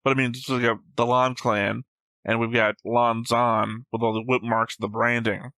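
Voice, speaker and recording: A man hosts a casual reaction discussion in a bright, forward voice. His pitch stays fairly level and his delivery is matter-of-fact. The sound is dry, with little room on it. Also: flat delivery, normal speed, clear, resonant tone, no room sound. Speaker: reaction show host. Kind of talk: casual discussion of an animated series.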